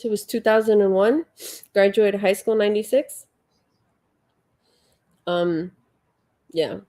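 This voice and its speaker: A woman's voice: medium pitch, slow pace, mellow. A congested woman in her forties hosting a casual livestream at home, rambling and chatty as she reads viewer comments. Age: 40s. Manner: chatty, rambling, with frequent fillers. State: congested, sniffling. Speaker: livestream host. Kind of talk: casual live chat, reading viewer comments.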